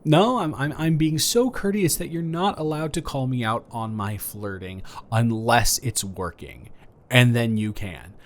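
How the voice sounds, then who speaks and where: A man's voice: medium pitch, expressive, a little slow, close to an everyday voice. A man improvising a romantic audio roleplay as a flirty, playfully boastful neighbor, talking straight to the listener in a teasing, stammering, rambling way. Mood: flirty, flustered.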